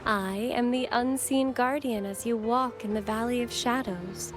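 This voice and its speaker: Video game acolyte: woman acting as a courteous, devout young guide, high-pitched, formal and reverent, over background music. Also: fairly even intonation, a little slow, lightly acted. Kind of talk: scripted video game dialogue, reverent exposition.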